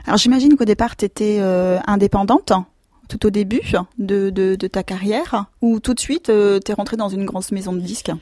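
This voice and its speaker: A woman's voice: mid-range voice, moderate pace, mellow, no echo, studio quality. A woman interviewing a singer casually, stumbling over her questions and correcting herself. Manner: informal, stumbling, self-correcting.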